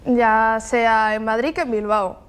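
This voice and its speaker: A woman's voice: a little high, fairly even intonation, measured pace, clean tone. A woman with an Andalusian accent, an actress talking in an interview, thoughtful with small restarts. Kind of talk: interview talk.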